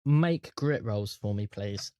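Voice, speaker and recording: Slow-paced game master, dry close sound. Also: sits low, animated, mellow, no echo. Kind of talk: narrating a tabletop role-playing game.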